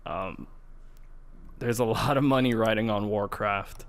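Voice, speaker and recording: Male podcast co-host, lowish register, informal gamer conversation. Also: measured pace, creaky. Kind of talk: casual gaming podcast chat.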